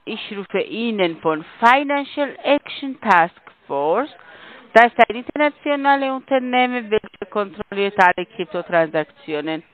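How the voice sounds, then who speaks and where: A non-native woman with a foreign accent, reciting a scripted call centre pitch over the telephone. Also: expressive, unhurried. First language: not German. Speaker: scam caller posing as investigator. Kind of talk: scripted phone pitch in broken German. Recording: phone-like telephone line.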